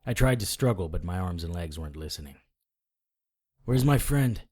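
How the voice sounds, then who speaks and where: An actor playing a battered, wry private investigator, narrating in the first person in an audio drama. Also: male voice, low voice, expressive, moderate pace, dark tone, husky. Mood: weary, fading. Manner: dry, hard-boiled.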